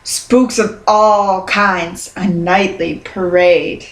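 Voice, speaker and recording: A woman voicing a sly father bear in a children's storybook, with drawn-out, dramatic warnings. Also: on the low side, fairly even intonation, a little hiss or hum. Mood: mock-spooky warning. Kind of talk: children's storybook read-aloud. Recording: roomy, echoing sound.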